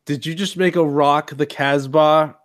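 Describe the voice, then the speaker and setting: Playful, higher-voiced man bantering at a game. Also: fairly even intonation, measured pace, dry recording, studio quality. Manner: playful, eager, repeats himself. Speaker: tabletop game player. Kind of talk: casual joking banter.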